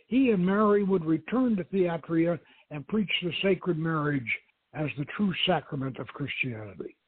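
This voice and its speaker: A man's voice: high-pitched, breathy edge, no room sound. A man who hosts the show, giving a dramatic reading of a novel. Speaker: host reading a novel aloud. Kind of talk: dramatic audiobook-style reading. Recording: thin, phone-like radio line.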